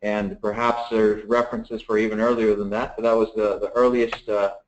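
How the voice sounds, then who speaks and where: A man from the Dominican Republic giving a relaxed technical talk at a seminar, with many fillers and restarts. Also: lowish voice, moderate pace, lo-fi sound, a little hiss or hum. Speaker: guest speaker. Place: seminar room, echoey. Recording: echoey room.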